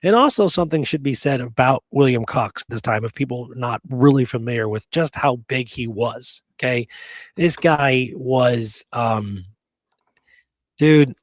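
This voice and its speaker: Male podcast host, slightly low voice, dry close sound, casual history talk, colloquial, emphatic, frequent check-in questions. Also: a little slow, dry recording.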